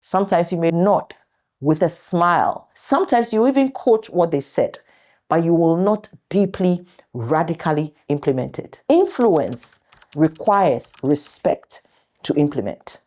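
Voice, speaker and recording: Motivational speaker, woman, middle-aged. Low, warm dark voice, deliberate delivery, emphatic pauses. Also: animated. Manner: deliberate, emphatic, pausing between short phrases. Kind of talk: motivational talk to an audience of viewers.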